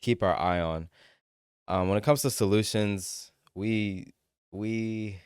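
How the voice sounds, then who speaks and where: A young man from Morocco, a college senior, talking as a relaxed podcast guest with understated humor and frequent "um" pauses. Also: fairly low pitch, clear, resonant tone, no echo. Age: young adult. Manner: relaxed, understated, dry humor, hesitant "um" fillers. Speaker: student guest. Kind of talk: casual podcast interview.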